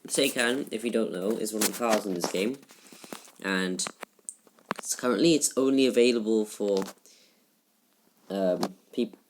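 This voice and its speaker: Subdued young male gamer, noisy home recording. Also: fairly low pitch. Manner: halting and rambling, with many restarts; subdued, somewhat flat delivery. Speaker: gaming YouTuber. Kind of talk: casual gameplay commentary. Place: shared room at home.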